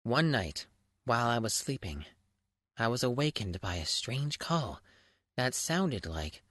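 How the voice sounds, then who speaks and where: A man's voice: mid-range voice, big pitch swings, unhurried, fairly bright tone, no room sound, studio quality. A man reading a scripted first-person story aloud as a dramatic, mock-grand audiobook narrator. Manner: theatrical, mock-grand delivery. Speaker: fan fiction audiobook narrator.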